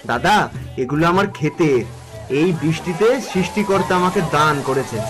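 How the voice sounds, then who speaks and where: Man playing humble farmer, higher-pitched voice. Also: lively intonation, normal speed, close to an everyday voice. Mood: earnest, hopeful. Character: humble, pious poor farmer, a grateful father. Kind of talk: cartoon moral-story dialogue. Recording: music behind the voice.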